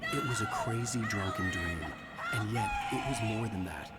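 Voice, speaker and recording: An actor playing a haunted male writer, narrating in a grave, measured way over loud game music. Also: on the low side, huge pitch swings. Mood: somber. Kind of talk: scripted narration.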